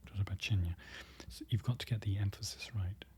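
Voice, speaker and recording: A presenter whispering an ASMR-style lesson, slowly repeating foreign words and correcting themselves. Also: sits low, fairly even intonation, slight background noise. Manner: whispering throughout, soft and close. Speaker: presenter learning Polish from a book.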